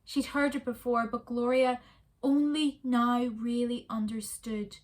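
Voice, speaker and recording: A woman reading a children's story aloud in a soft, expressive way. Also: fairly high pitch, unhurried.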